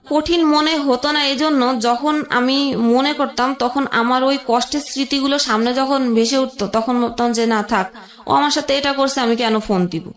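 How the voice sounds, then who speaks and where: Halting young woman, echoey room. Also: a little high, a bit bright. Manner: halting, with pauses and restarts. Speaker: guest telling her life story. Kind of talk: emotional personal storytelling.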